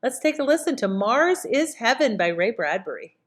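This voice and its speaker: A woman's voice: fairly high pitch, sing-song, moderate pace. A woman hosting with a casual, unscripted introduction, conversational and self-correcting. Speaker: host introducing old radio shows.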